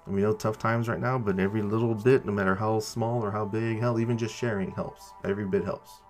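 A man's voice: lowish voice, fairly even intonation, fairly quick, a little mellow. A man hosting a podcast, giving an informal announcement to listeners in casual language with some mild cursing. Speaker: podcast creator and host. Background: background music.

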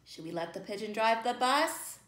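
A woman in her thirties leading an interactive read-aloud, putting questions to young children in an animated way. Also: fairly high pitch, moderate pace. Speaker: storytime reader for children. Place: indoors, small plain-walled room. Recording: echoey room.

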